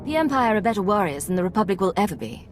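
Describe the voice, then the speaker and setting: Casting: actress as composed female secret agent, mid-range voice, measured and assured, sci-fi game, music clearly underneath. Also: mid-range voice, very animated, close to an everyday voice. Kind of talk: video game cutscene acting.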